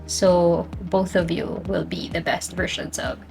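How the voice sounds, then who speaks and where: Low-pitched Filipino woman chatting. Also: level tone, unhurried, creaky, darkish tone, faint music behind. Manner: mixes English with Tagalog. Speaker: podcast co-host. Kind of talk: casual reflective talk about personal experience.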